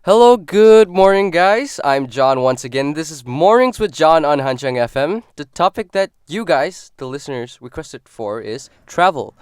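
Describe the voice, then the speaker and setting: A man hosting a morning radio show, upbeat and friendly, with a lively, swinging pitch. His voice is smooth and clear, recorded dry and close in the studio. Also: normal speed, hardly any pauses, no room sound. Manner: upbeat and friendly, asking questions.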